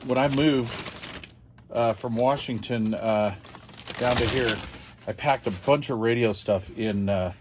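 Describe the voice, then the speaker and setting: A man's voice: medium pitch, measured pace, long pauses, noisy background. An older American man chatting casually as he hosts a hobby unboxing video, rambling along in a folksy, self-deprecating way.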